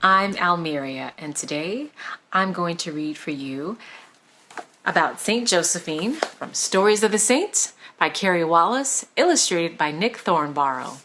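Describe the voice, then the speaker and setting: A woman reading a scripted children's story aloud as narrator, expressive and deliberate, with emphasis on key words. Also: lowish voice. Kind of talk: scripted read-aloud narration of a saint's life.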